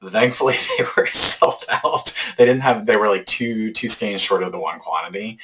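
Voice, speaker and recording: Chatty deep-voiced man hosting a knitting podcast. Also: moderate pace, fairly bright tone. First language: English. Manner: rambling, chatty, many ums and restarts. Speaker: podcast host. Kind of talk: casual podcast chat.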